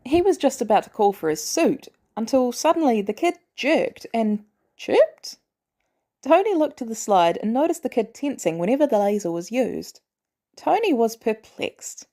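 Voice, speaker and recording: Expressive woman narrator with lively pitch. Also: moderate pace. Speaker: podfic narrator. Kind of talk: expressive scripted story narration.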